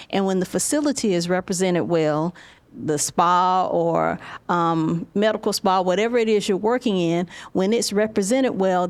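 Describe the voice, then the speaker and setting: A woman's voice: fairly low pitch, a little mellow, no room sound. A woman panelist speaking firmly and practically about teamwork. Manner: firm, practical. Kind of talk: panel talk to students.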